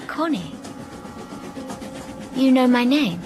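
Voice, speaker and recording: Over music, a young woman in a radio ghost play, her pitch rising and dipping freely. She is curious and tender, hesitant in phrasing yet quietly persistent with her questions. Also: expressive, takes it slowly. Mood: uneasy, hesitant. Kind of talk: radio drama acting, conversational.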